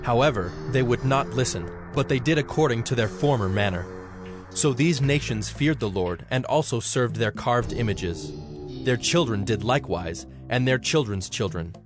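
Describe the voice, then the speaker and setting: A man's voice: medium pitch, expressive, normal speed. Male scripture narrator over music.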